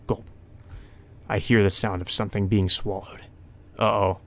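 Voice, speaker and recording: A man's voice: on the low side, level tone, normal speed. A man hosting a let's play, reading visual novel narration aloud and adding his own off-the-cuff reactions.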